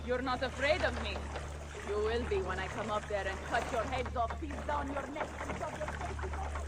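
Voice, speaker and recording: Actress as a fiery high-voiced Italian noblewoman. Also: animated, moderate pace, heavy background noise, natural voice. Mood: furious, defiant. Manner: bold, brash, theatrical, peppers speech with Italian. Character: proud, fiery, foul-mouthed Renaissance Italian noblewoman ruling a city. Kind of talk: dramatic game cutscene acting. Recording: loud music behind voice. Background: game soundtrack music.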